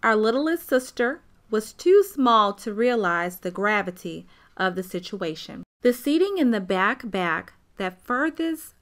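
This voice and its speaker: A woman's voice: medium pitch, sing-song, a little slow, few pauses, a bit bright. A woman playing a grown-up narrator who fondly and wryly recalls childhood in a scripted radio play. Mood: nostalgic.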